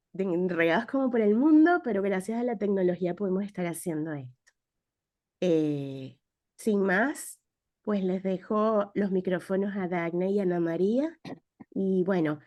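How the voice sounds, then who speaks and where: A woman hosting and opening an online talk over a video call, relaxed and chatty with filler words. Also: mid-range voice, dry recording. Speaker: host and program director.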